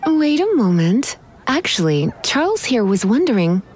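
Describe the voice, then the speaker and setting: A woman's voice: a little high, sing-song, moderate pace, a little mellow, a little hiss or hum. A woman voicing a coy, teasing, genteel lady in a fantasy video game. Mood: coy, smooth. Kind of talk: video game character acting.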